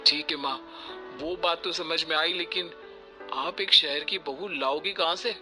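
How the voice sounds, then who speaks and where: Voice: medium pitch, steady tone, a little slow, music in the background, lightly acted. A performer voicing a mild, reasonable young village son and husband in a story cartoon, placating and hesitant. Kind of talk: Hindi moral story cartoon voice acting.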